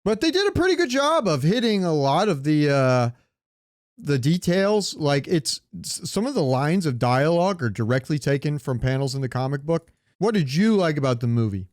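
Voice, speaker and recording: A man's voice: fairly high pitch, big pitch swings, moderate pace, a little mellow, no room sound, clean studio sound. A man chatting casually as a podcast co-host in a rambling way, swearing now and then and asking questions. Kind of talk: casual chat about comics and movies.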